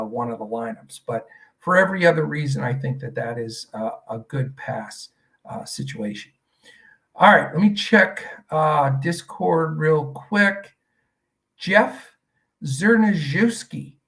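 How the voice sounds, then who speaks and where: A man's voice: a little high, a bit bright, poor audio. A middle-aged man from Western Pennsylvania hosting a fantasy sports podcast, talking in a rambling, conversational way with lots of fillers and restarts. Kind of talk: casual podcast analysis.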